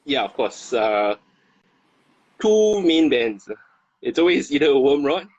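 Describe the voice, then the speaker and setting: A man from Singapore with a Singaporean English accent, chatting as a guest, halting and repeating words. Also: medium pitch, very animated, a little mellow, dry recording, dull audio. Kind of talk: casual chat.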